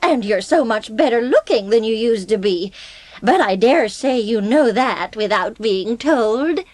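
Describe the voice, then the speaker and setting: A woman playing a wealthy old aunt, gruffly affectionate and emphatic, in a dramatised audiobook. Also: on the high side, animated, a little mellow, no echo. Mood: delighted, teasing. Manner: slow, emphatic, exclaiming.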